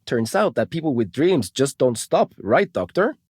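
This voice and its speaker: A man's voice: mid-range voice, sing-song, normal speed, darkish tone, slightly rough, no echo, studio quality. A man voicing an eager, cheerful, chatty young male video game character.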